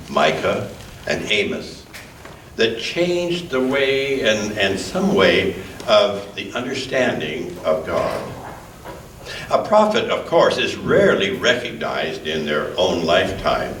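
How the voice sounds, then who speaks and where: Low-voiced man reading slowly in church. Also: a bit bright. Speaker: scripture reader at a church service. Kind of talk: solemn scripture reading with short introductions. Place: indoors in a church. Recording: roomy church, distant mic, faint noise.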